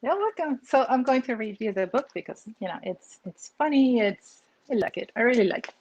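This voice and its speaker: A woman from Peru with a Peruvian accent, an author reading her picture book aloud with expressive storytelling and asides. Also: a little high, a little fast, husky, lo-fi sound.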